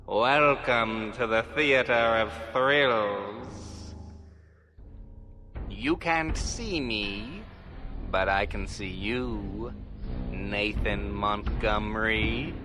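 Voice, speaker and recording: A man's voice: lowish voice, drawn-out words, music in the background. A man hosting a show with a spooky, dramatic introduction, drawing out his words theatrically. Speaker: podcast host.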